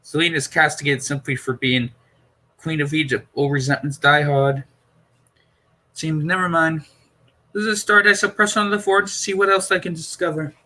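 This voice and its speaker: A man's voice: fairly high pitch, steady tone, normal speed, bright, forceful tone, pitched above the performer's own voice. A man voicing a learned, pompous time-traveller in a storybook reading, lecturing in formal, wordy sentences. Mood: musing, purposeful.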